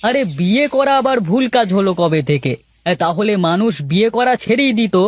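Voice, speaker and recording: A man acts out a meddling young villager in a folk-tale cartoon. His voice is very high for a man, persuasive and familiar. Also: animated, a little fast, dry recording. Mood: coaxing.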